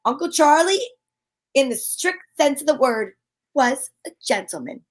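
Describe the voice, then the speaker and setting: A woman's voice: high-pitched, sing-song, a little slow. A woman playing a wry, wisecracking female private eye in an old-time radio detective drama. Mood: wry narrating. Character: wry, breezy woman private eye narrating her case.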